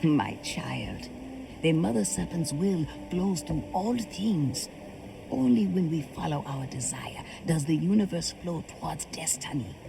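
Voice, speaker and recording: A woman playing a wise, stern older religious mentor in a video game, speaking in a measured, solemn, breathy way. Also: low-pitched, lively intonation, noisy background, full character voice. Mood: earnest reassurance.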